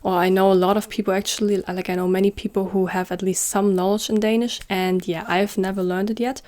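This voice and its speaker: A woman's voice: mid-range voice, little pitch movement, brisk, a little mellow. A young woman chatting casually to camera in a vlog, filling her talk with "like" and joking about herself. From northern Germany. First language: German. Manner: chatty, self-deprecating, many filler "like"s. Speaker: YouTuber.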